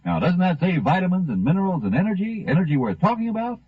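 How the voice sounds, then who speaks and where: A man giving a folksy, enthusiastic, emphatic commercial pitch as a 1950s radio announcer. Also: very animated, quick pace, breathy edge. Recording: thin, narrow 1950 radio recording.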